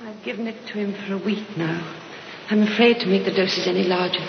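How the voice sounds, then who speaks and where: An actress playing a desperate, lovesick poisoner voicing a secret letter in an old-time radio drama. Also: female voice, medium pitch, fairly even intonation, fairly quick, creaky, lightly acted. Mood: anxious, yearning. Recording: muffled, echoing, hissy old radio recording.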